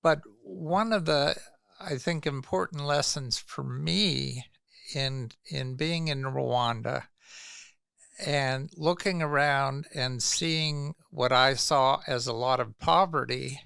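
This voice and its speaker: A man hosting a podcast, asking curious, relaxed questions in a casual interview. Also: mid-range voice, slow pace, creaky, clean tone, dry recording. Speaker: podcast host.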